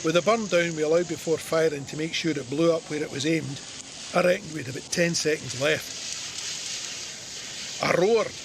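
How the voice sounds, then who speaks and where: An actor with a Scottish accent playing a soldier who narrates his war memoir in a measured, vivid, plain-spoken way. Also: fairly high pitch, noisy background, natural voice. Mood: tense. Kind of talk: scripted first-person war memoir narration.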